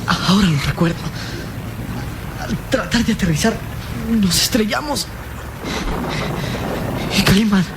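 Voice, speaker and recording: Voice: medium pitch, unhurried, pauses often, natural voice. A performer playing a small, frightened boy adventurer in a radio drama, plaintive and anguished, crying out. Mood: pained, anxious. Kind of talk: Latin American radio drama acting. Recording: loud storm and water noise behind.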